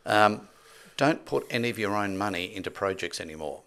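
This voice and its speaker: A man's voice: lowish voice, lively intonation, a little fast, fairly bright tone. A man in his sixties telling stories conversationally and emphatically as an interview guest, on a close studio microphone. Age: 60s. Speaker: podcast guest, property development expert. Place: small indoor podcast studio. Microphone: close boom-arm studio microphone with headphones.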